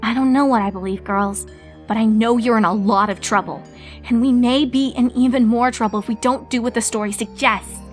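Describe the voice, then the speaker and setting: A woman's voice: on the high side, fairly even intonation, brisk, faint music behind, voice pushed up, natural voice. A woman voicing a studious young female unicorn who explains things earnestly. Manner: earnest, explanatory, slightly uncertain.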